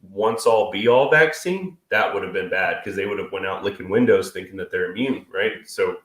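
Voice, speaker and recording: Sniffly, crude, joking co-host in his thirties, low voice, roomy echo. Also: normal speed, fairly bright tone. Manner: crude, joking, swears freely. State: stuffy and sniffly from a cold. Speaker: co-host of a live stream. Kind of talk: casual chat. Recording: echoey room.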